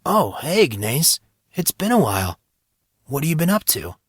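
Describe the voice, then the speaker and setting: Man as a friendly, gallant teenage boy, lifted pitch. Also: big pitch swings, normal speed, breathy edge, no echo, studio quality. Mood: pleasantly surprised. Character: friendly, courteous, self-deprecating teenage boy student.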